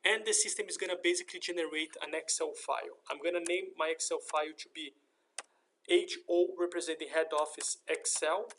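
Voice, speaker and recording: A man narrating a step-by-step software screen tutorial in a methodical, explanatory manner. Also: fairly high pitch, fairly even intonation, a little fast, a little hiss or hum. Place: voice-over for a screen recording.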